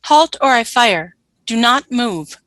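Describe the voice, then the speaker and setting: A woman's voice: on the high side, animated, slow pace, a bit bright, no echo, rough recording. A woman playing a hostile, dutiful alien soldier in a radio play, with stiff, terse military delivery.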